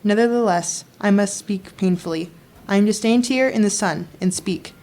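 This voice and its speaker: A woman's voice: medium pitch, normal speed, darkish tone, faint music behind, natural voice. A young woman playing a sorrowful prophetess risen from the dead, reciting solemn, incantatory verse in an audio drama. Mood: mournful, foreboding. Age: young adult. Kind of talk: poetic prophecy in a radio play.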